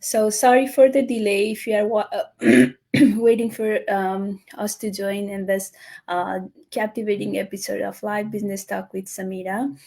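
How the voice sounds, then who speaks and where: A woman's voice: medium pitch, little pitch movement, normal speed. Nepali-born woman hosting a live chat. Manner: hesitant, many fillers, warm welcomes. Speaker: host. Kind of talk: live online business interview.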